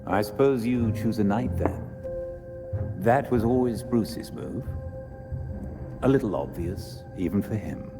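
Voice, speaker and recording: An actor playing a measured, imposing villain with dry menace and formal phrasing in a video game cutscene. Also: male voice, on the low side, natural voice. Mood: dry, musing. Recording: long reverberant hall, music behind.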